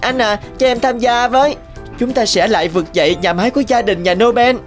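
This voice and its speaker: An actor voicing an eager, loyal young man in an animated drama, speaking earnestly. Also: very high voice, fairly quick, faint music behind.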